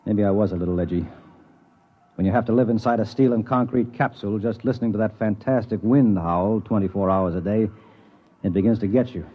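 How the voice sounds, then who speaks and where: An actor in a 1950s radio drama playing a brusque, arrogant space explorer, hard-boiled and edgy. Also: male voice, on the low side, fairly even intonation, a little fast, breathy edge. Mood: weary, edgy. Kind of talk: 1950s radio drama acting with first-person narration. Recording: narrow, tinny, noisy 1950s radio recording.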